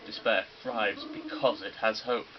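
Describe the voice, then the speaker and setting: A man voicing a gleeful, sinister male robot bear headmaster who lectures in a mocking, theatrical, drawn-out way. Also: lowish voice, fairly even intonation, muffled recording, a crowd in the background, lightly acted. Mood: sinister lecturing. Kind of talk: video game dub reading.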